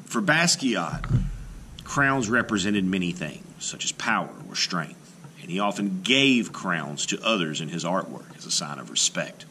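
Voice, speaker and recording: A man, an art teacher, reading a children's biography book aloud in measured, expressive phrases with deliberate pauses. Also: sits low, slight background noise.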